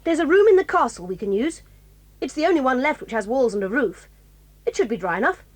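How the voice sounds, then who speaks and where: A woman's voice: high voice, very animated, fast. An actress playing a bold, stubborn tomboy girl who speaks confidently and decisively.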